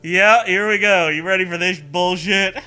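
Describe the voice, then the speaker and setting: A man's voice: sits high, fairly even intonation, moderate pace, pushed and bright, clear, resonant tone, faint music behind. A man co-hosting a comedic read-along, sarcastic and foul-mouthed, switching between dramatic reading and mocking commentary.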